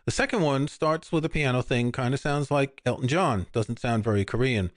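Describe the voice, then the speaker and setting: A man's voice: mid-range voice, moderate pace, no room sound, clean studio sound. An older man, co-hosting a podcast, chatting casually about music with frequent restarts. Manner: relaxed, rambling, many restarts. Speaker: podcast co-host. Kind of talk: casual chat.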